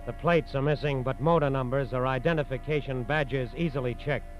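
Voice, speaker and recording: A man's voice: mid-range voice, brisk. An American man giving solemn, formal, dramatic scripted narration in a 1940s radio style. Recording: dull vintage radio, faint music.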